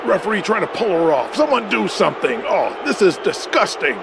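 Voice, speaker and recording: High creaky-voiced wrestling announcer. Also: male voice, big pitch swings, fairly quick, noisy background, full character voice. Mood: appalled. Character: excitable 1980s male TV wrestling announcer, indignant at villains. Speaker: wrestling commentator. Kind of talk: 1980s wrestling play-by-play commentary.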